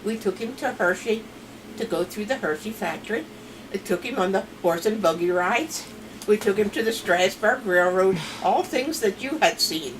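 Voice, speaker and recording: A woman's voice: medium pitch, expressive, normal speed. Grandma in her 70s, pennsylvania dutch accent, rambly chatty storyteller, mic far off with noise behind. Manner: chatty, rambling, laughs between stories. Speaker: grandmother being interviewed. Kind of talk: casual family storytelling. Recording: distant mic with clear background noise.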